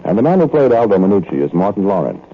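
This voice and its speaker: Male 1940s radio host; low, measured, tinny old recording. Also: big pitch swings, slight background noise. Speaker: radio drama host and narrator. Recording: tinny vintage radio broadcast.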